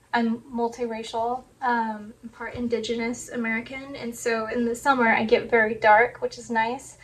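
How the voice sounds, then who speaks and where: A woman with a hoarse voice, still recovering from laryngitis, rambles softly through a casual sewing vlog, padding her thoughts with "like" and "um". Her voice sits a little higher than usual and moves in a narrow, even band. She is recorded on a phone in her home sewing room and sounds somewhat far from it. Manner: soft-spoken, rambling, many "like" and "um" fillers. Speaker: sewing vlogger. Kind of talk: casual chat to camera. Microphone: phone camera.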